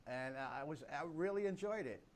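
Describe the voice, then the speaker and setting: A man's voice: on the high side, expressive, fairly quick, a little mellow. An older man hosting a comedy talk show over a video call, giving upbeat, emphatic introductions.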